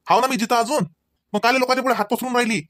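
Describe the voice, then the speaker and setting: A man's voice: very high voice, steady tone, rapid-fire, a bit bright, no echo, studio quality. A man playing a proud, angry village husband in a comedy cartoon, scolding in a Varhadi Marathi accent. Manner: scolding, firing off rhetorical questions. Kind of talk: amateur comedy cartoon dialogue.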